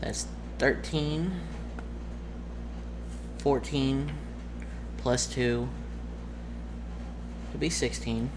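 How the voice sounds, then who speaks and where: A man working as game master, casually narrating a tabletop game in a halting way, muttering through dice sums. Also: medium pitch, fairly even intonation. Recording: clearly noisy background.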